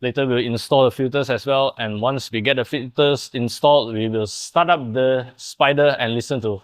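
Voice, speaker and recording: A man's voice: medium pitch, steady tone, normal speed, clear, resonant tone, dry recording. A young man explaining and demonstrating in the style of a car product showcase. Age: young adult. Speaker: presenter of a car workshop video.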